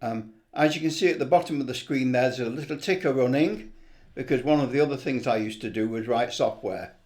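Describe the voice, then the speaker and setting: A man's voice: medium pitch, brisk, darkish tone. A middle-aged or older man talking casually and enthusiastically in a rambling, unscripted way as he presents a hobby video. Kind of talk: casual unscripted vlog talk.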